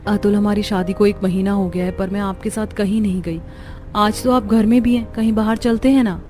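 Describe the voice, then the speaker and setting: A woman's voice: medium pitch, fairly even intonation, a little fast, mellow, lightly acted. Woman acting a whiny, boastful young bride. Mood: pleading. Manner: showing off, self-pitying.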